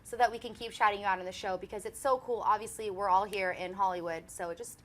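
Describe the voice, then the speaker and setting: A woman's voice: medium pitch, steady tone, quick pace, a bit bright. A young woman in her twenties hosting a casual fan chat show, chatty and giggly, with lots of "um" and "like". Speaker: host.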